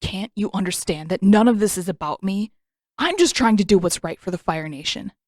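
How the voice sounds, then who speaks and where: A woman's voice: medium pitch, lively intonation, brisk, a little mellow, dry recording, clean studio sound, close to an everyday voice. A woman voicing a proud, short-tempered teenage prince with a snappish, flustered manner in an audio story. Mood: exasperated. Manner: snappish, spluttering.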